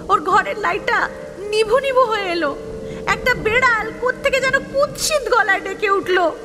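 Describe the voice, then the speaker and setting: A woman playing a wistful, lovelorn ghost who narrates an audio drama. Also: extremely high pitch. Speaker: narrator and lead character. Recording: reverberant hall sound under music. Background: prominent background music.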